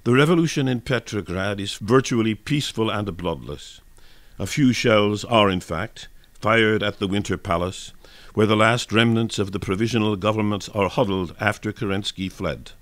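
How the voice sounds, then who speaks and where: Male narrator, lower-pitched, dry close sound, formal scripted radio reading of history. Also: moderate pace, no echo. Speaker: narrator and reader.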